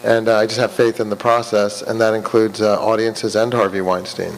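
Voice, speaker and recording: A man's voice: on the low side, level tone, fairly quick, slight background noise. A man, a film director, speaking earnestly on a festival panel and slipping in dry jokes. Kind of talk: reflective panel talk. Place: panel stage in a large hall. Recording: reverberant hall.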